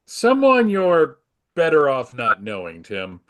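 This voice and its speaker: An earnest, reassuring comic book superhero, read by a man. His voice sits a bit high for a man and moves expressively, with a dramatic delivery. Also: wildly swooping pitch, slow, a bit bright, exaggerated voice.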